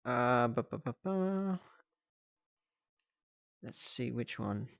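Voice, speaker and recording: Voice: medium pitch, lively intonation, very slow, vocal fry, no echo, studio quality. A livestreamer chatting casually to viewers in a giggly way, often thinking aloud. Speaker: livestreamer hosting a reaction stream.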